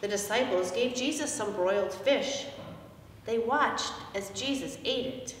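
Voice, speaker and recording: A middle-aged woman pastor telling a story to children in simple, animated language, with questions to her listeners. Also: a little high, unhurried. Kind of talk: children's message, storytelling from a picture book. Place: indoors in a church, seated before the altar. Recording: echoey church, distant microphone, noisy background.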